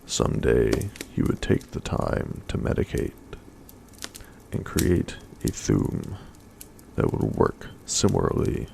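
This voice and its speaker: Soft-spoken male ASMR storyteller; very deep, gravelly, slow read. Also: sing-song, a little hiss or hum.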